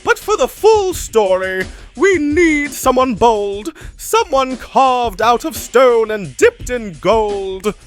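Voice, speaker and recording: Woman as a high, animated puppet hype announcer. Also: slow, few pauses, faint music behind. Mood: showy, teasing. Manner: rhythmic, rhyming, showy. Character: perky, sassy puppet co-host and hype announcer. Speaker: co-host. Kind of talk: scripted rhyming puppet comedy.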